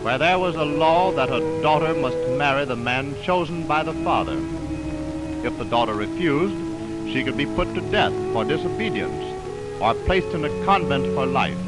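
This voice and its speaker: Vintage radio announcer, male, formal narration with widely swinging intonation, loud music underneath, 1929 broadcast sound. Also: fairly high pitch, rough recording. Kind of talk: scripted 1920s radio narration. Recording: 1929 radio aircheck on film.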